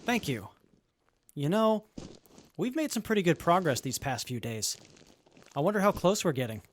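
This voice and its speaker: A man's voice: fairly high pitch, big pitch swings, moderate pace, slight background noise. A man playing a polite, upbeat young traveler who jokes through hardship, in a post-apocalyptic audio drama. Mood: hopeful.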